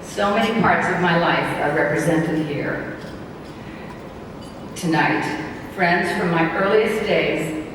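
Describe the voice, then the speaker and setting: A woman giving an acceptance speech at an awards dinner, slow and hesitant with frequent ums and restarts. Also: lowish voice. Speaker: award honoree. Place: large banquet hall. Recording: echoey banquet hall from far off, noisy.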